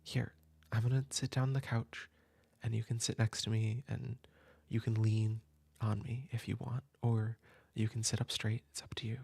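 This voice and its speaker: A man playing a caring older brother who shelters a younger sibling, soft-spoken and reassuring, sometimes dropping to a whisper, in a comfort audio roleplay. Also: lowish voice, little pitch movement, moderate pace, vocal fry, slightly rough. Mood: soothing. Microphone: home desk mic.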